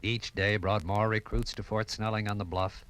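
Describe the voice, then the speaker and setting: A man's voice: on the low side, flat delivery, moderate pace, a bit bright. A man delivering dramatic scripted narration in a 1950s radio style. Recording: 1950s radio recording, faint noise.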